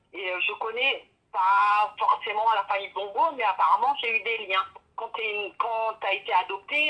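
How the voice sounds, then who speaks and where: A woman's voice: mid-range voice, pushed and bright, slightly rough, low-quality recording. A woman calling in to a live show, polite and effusive, rambling casually from one point to the next. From born in Gabon, raised in France. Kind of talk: casual, rambling phone-in comment.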